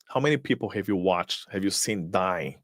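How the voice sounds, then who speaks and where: A man whose first language is Portuguese hosts a live motivational stream in a low voice that rises and falls widely. He pushes rhetorical questions at his listeners and often restarts on repeated words. The sound is close and dry, with no room around it. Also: lively intonation, normal speed, no echo. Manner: emphatic, fires rhetorical questions, repeats words while searching. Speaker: motivational speaker and host.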